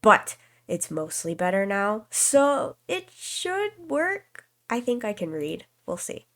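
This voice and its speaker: Stuffy-nosed woman calmly reading a visual novel. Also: mid-range voice, takes it slowly. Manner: calm, even-paced narration with casual asides. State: stuffy nose, slight cough. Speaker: streamer.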